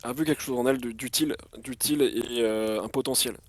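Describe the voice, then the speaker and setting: A man player presenting his tabletop character in a halting way, with many fillers and restarts. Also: medium pitch, dry recording.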